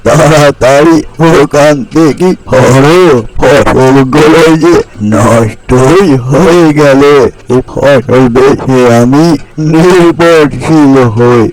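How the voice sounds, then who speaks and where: A man voicing a scolding old village granny in a cartoon fairy tale, with drawn-out, exclamatory phrasing. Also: mid-range voice, darkish tone, poor audio. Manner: slow, drawn-out, exclamatory delivery. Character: scolding old village granny guarding her tamarind tree.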